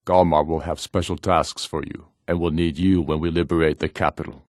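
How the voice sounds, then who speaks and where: An actor playing a proud, commanding Nord rebel leader in a fantasy video game, with a grave, authoritative manner. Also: male voice, very deep voice, no room sound, clean studio sound.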